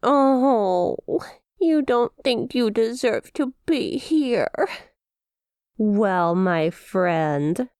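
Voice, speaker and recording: A woman's voice: on the high side, expressive, very slow, darkish tone, smooth, dry recording, clean studio sound. A woman playing a perky, smug demon imp in an ASMR roleplay, teasing with playful mock sympathy and a possessive streak. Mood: gleeful teasing. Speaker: audio roleplay performer.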